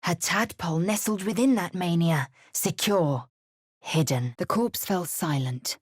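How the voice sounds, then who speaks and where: An actress playing a fierce, haughty young githyanki warrior woman in a video game, with a husky, raspy voice and a clipped, imperious, disdainful delivery. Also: fairly low pitch, unhurried, dry recording, studio quality. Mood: solemn, measured. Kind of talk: scripted video game voice lines and narration.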